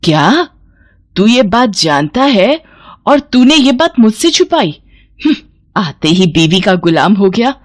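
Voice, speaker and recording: A woman voicing an older, emotional mother-in-law in an animated moral story, motherly and emphatic. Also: mid-range voice, unhurried, no echo, clean studio sound. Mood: angry, indignant.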